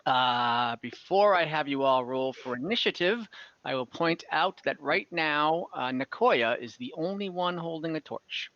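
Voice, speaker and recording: A man acting as game master in a casual online tabletop game, explaining rules in an easygoing, halting way. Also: a little high, expressive, bright, forceful tone, no echo, dull audio.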